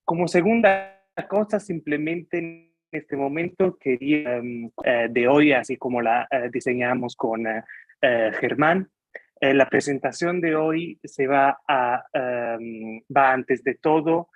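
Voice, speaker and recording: A young man with Italian as his first language, giving a lecture over a video call. He gropes for words, with frequent fillers and restarts, at an even middle pitch. The sound is dry, with no room around it. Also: takes it slowly, no room sound, dull audio, faint music behind. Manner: hesitant, many fillers and restarts. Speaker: guest lecturer.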